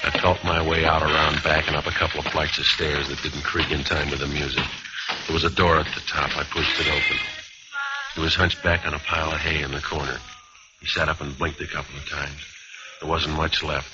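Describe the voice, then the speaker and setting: An actor playing a world-weary American private eye, laconic and dry, in first-person radio noir. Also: male voice, rumbling low, flat delivery, fairly quick. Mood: weary narration. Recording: muffled, noisy old radio recording.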